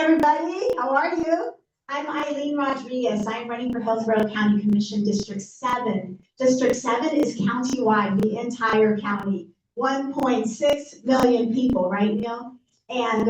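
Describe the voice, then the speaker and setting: A woman's voice: fairly high pitch, slight background noise. A woman from Puerto Rico whose first language is Spanish, giving an earnest campaign speech and checking in with the crowd. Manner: earnest, appeals to the crowd with tag questions. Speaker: political candidate. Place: community meeting room. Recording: roomy, distant and dull room recording.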